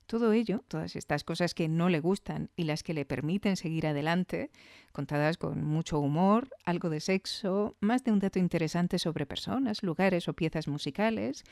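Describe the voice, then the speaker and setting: A woman's voice: on the low side, moderate pace, creaky, a little mellow, no echo. Woman podcast host, close dry sound. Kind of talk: scripted podcast narration with conversational asides.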